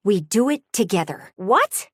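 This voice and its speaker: A woman's voice: medium pitch, big pitch swings, measured pace, a bit bright, slightly rough, no room sound, clean studio sound, heavily acted. A woman voicing a nosy, prim, easily offended lady window in a video game, with a theatrical, gushing and condescending manner. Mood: concerned, meddling. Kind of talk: animated video game character acting.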